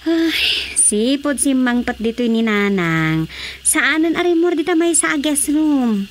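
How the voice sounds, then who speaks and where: A Filipino woman acting a coy, animated younger woman in a radio drama comedy. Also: high-pitched, slow, slight background noise. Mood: exasperated.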